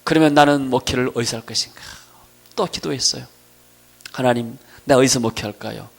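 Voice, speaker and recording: A middle-aged man with a Jeolla accent from Gwangju preaches a sermon with personal testimony, swinging between emphatic declarations and quieter storytelling. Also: mid-range voice, brisk, vocal fry. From Gwangju region, South Korea. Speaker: pastor preaching.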